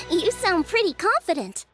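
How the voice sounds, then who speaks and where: Young girl chef, game role: earnest, chirpy, sky-high pitch, animated delivery over clear music. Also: measured pace, exaggerated voice. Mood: cheerful. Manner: enthusiastic, earnest. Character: eager, chirpy teenage girl chef, friendly and excitable. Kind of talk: video game character acting. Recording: music playing behind the voice.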